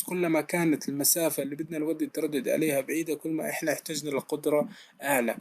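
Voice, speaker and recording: Fast-talking gravelly lecturer. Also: male voice, a little high, a little mellow. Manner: explains step by step, asks and answers his own questions, frequent "uh" fillers. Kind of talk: recorded technical lesson.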